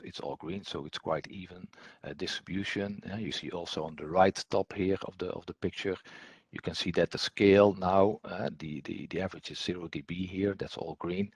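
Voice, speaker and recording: A man giving an unscripted software tutorial as instructor, speaking haltingly and hesitantly, often repeating words. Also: low-pitched, slightly rough, dry recording.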